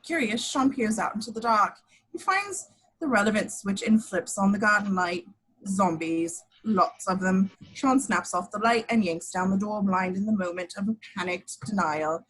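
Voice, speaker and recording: A woman's voice: mid-range voice, moderate pace, a bit bright, breathy edge, rough recording. A woman reading screenplay action lines as the narrator of a table read. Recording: home recording.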